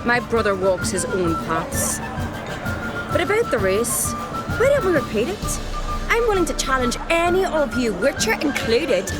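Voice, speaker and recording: Casting: bold young island noblewoman, high swooping voice, challenging and cheeky, game cutscene over loud music. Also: sing-song, measured pace. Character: bold, competitive young island jarl's daughter. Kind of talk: video game cutscene acting.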